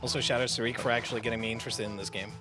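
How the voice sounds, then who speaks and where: Man, average pitch, casual speedrun commentator, live event, game music behind. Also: steady tone, very fast. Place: live gaming marathon event.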